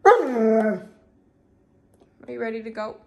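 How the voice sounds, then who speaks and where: Woman, bright sing-song pet talk, unhurried, phone mic with clear street noise. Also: fairly high pitch, expressive, highs cut off. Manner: coaxing, baby-talk to a pet. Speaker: dog owner filming her dog. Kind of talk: casual talk to her dog. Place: home then outdoor street market. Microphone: handheld phone camera.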